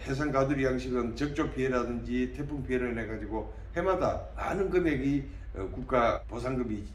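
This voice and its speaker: A man's voice: medium pitch, darkish tone. Older man, roomy distant recording. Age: late 60s. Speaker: political candidate being interviewed. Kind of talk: interview answers, campaign pitch. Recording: roomy, distant mic, faint background noise.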